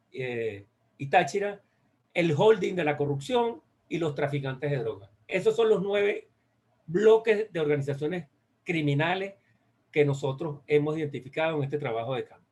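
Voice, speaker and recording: A Venezuelan man presents research in a slightly high voice. He speaks in a halting way, doubling back on phrases and searching for the right word as he explains. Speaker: guest speaker. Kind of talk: academic presentation on a research study.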